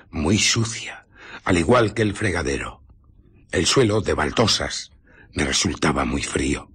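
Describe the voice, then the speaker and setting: An actor playing an embittered invisible scientist in a radio drama, recounting furtive exploits with deliberate, dramatic pauses and a breathy voice that slips into whispers. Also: male voice, sits low, fairly bright tone, heavily acted. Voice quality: husky, breathy voice slipping into whispers. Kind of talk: radio drama narration and dialogue.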